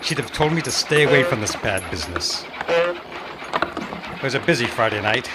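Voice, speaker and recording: A man's voice: medium pitch, big pitch swings, normal speed, very noisy, natural voice. A man playing a world-weary, hard-boiled private eye narrating a noir radio drama. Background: loud music.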